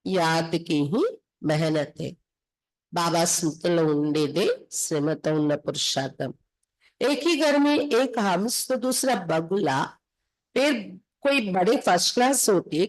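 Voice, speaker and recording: A woman's voice: fairly low pitch, lively intonation, a little slow, rough recording. A woman from India with a Telugu accent, reading scripture aloud and explaining it instructively as a spiritual teacher.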